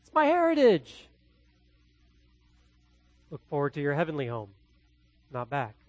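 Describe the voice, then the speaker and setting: A man from the Midwest with a Midwestern accent, preaching a verse-by-verse sermon as pastor, swinging between loud and quietly hushed delivery. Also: a little high, wildly swooping pitch, unhurried, dry recording. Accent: Midwestern American. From American Midwest. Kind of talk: expository sermon.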